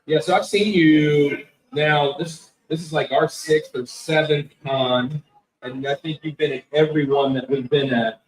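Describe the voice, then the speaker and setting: Easygoing host asking questions in a hall. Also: male voice, on the high side, steady tone, unhurried. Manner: easygoing questions with repeated words. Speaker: podcast co-host, interviewer. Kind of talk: casual interview. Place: convention hall. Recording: echoey hall.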